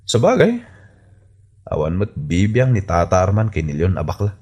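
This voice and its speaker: A man's voice: sits low, sing-song, moderate pace, darkish tone, full character voice. A man with a Filipino accent playing a nosy, gossiping villager in a comedy radio drama, inquisitive and muttering. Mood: nosy musing.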